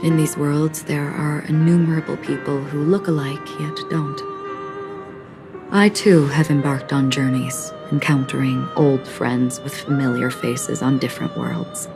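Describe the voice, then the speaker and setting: A woman playing a melancholic lone wanderer in a video game, speaking in a measured, grave, reflective way with weighty pauses. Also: sits low, very animated, music in the background, full character voice. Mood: calm, melancholic. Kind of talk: video game cutscene voice acting.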